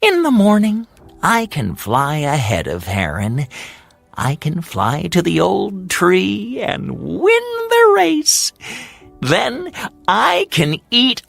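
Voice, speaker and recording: A storyteller voicing a small, boastful, playful male hummingbird in a children's fairy tale, with an excitable, teasing, sing-song delivery. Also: medium pitch, slow pace, gravelly, no room sound, faint music behind, voice pushed up, heavily acted. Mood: smug, gleeful.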